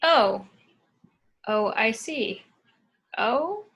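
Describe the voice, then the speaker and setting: High-voiced woman as a nerdy teenage boy. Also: lively intonation, extremely slow, a bit bright, breathy edge, exaggerated voice. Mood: hesitant, earnest. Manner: formal, fussy phrasing. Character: earnest, nerdy fourteen-year-old boy, devoted sci-fi fan. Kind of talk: script table read.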